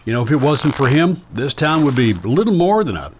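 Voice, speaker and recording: A man playing an affable, flattering small-town card player in a Western audio drama, chummy and folksy in manner. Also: medium pitch, brisk, noisy background, close to an everyday voice. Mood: admiring.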